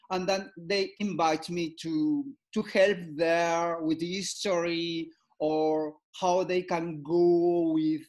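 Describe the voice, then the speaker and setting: A man in his fifties from Peru, answering interview questions in halting English and often repeating words. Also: sits high. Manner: halting, repeats words, non-native phrasing. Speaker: guest psychoanalyst.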